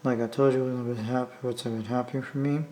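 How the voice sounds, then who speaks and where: Man, American accent, mid-range pitch, casual hobbyist vlog, rambling, halting, repetitive phrasing. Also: fairly even intonation, warm tone, slight background noise. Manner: rambling, halting, repeats himself. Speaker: hobbyist video maker. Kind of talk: casual unscripted vlog talk. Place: indoor room, talking from behind the camera.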